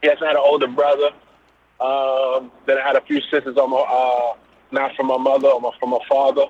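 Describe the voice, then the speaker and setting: A middle-aged New York man talks loosely and easily as a guest, pausing on fillers. His voice is slightly husky, and it comes through a thin, phone-like call line. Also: mid-range voice, monotone, no echo. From Brooklyn, New York. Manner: easygoing, loose with many fillers. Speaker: guest, business owner. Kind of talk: casual interview.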